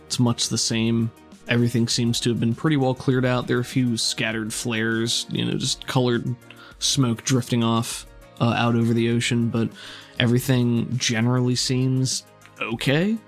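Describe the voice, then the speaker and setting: A man running a tabletop role-playing game as game master, narrating scenes in a relaxed, descriptive way. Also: medium pitch, normal speed, soft background music.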